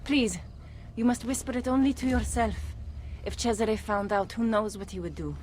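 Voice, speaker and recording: A woman's voice: on the high side, normal speed, a little mellow, big-room sound, a little hiss or hum, close to an everyday voice. An actress playing a cruel, jealous Renaissance noblewoman with a haughty, taunting manner, in a video game. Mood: scheming. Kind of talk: video game cutscene acting.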